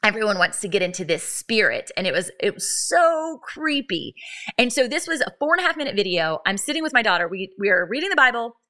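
A woman's voice: mid-range voice, sing-song, fast, pushed and bright. A woman in her thirties hosting a faith podcast, telling a story earnestly and with lots of animation, restarting her sentences as she goes. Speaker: podcast host. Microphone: podcast microphone, live stream.

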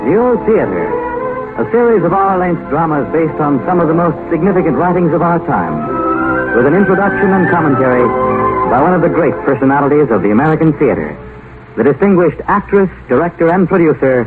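A man's voice: on the high side, big pitch swings, a little fast. A man announcing formally on 1950 radio, in a grand, declamatory manner. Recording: narrow-band old radio broadcast. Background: loud music.

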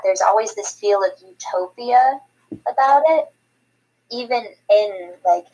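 Scottish woman, podcast co-host, husky voice, chatty, explains with ums. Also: mid-range voice, a little mellow, rough recording. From Scotland. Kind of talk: casual chat.

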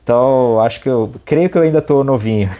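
A man talks as a podcast guest. He is friendly and self-deprecating and laughs often. His voice is warm and mellow, at an average pitch. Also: fairly quick. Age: 20s. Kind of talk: casual podcast interview.

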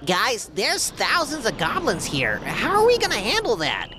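Woman as excitable high-voiced little boy cat. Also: moderate pace, background noise. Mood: worried. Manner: rambling, stammering. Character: small, naive, excitable male talking kitty cat familiar.

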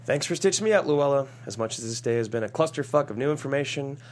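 An actor playing a cocky, foul-mouthed celebrity with a sardonic, exasperated, flippant way of talking in a comedy table read. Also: male voice, mid-range voice, animated, fast talker, lightly acted. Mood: dryly flippant. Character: cocky, sarcastic, foul-mouthed male celebrity stranded in town. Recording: clear background hum and noise.

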